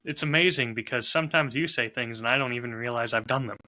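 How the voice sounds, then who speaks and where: A man's voice: mid-range voice, fairly even intonation, fast talker, bright, forceful tone. A man chatting casually as a podcast co-host, with a wry, self-deprecating way of talking. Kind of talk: casual chat.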